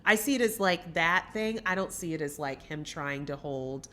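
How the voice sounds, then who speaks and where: A woman's voice: low-pitched, lively intonation, moderate pace, a bit bright, a little hiss or hum. A woman co-hosting a film podcast, giving opinionated, emphatic takes in casual conversation.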